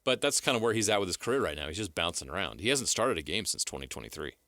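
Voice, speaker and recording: A man's voice: low voice, very animated, racing, sharp tone, no room sound, studio quality. A man co-hosting sports radio, giving assured explanations and answering his own questions. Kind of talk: sports talk analysis. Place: radio studio.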